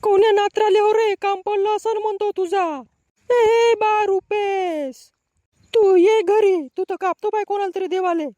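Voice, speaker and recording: A woman's voice: very high voice, steady tone, a little slow, warm tone, no room sound. A woman voicing a suspicious, nagging elderly village woman with a rural Marathi accent in a comic cartoon. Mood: nagging suspicion. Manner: nagging, scolding.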